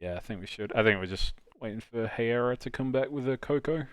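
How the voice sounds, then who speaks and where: A man's voice: medium pitch, fairly quick, no room sound. A man hosting a live stream, chatting casually and joking with friends. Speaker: host of a live dubbing stream.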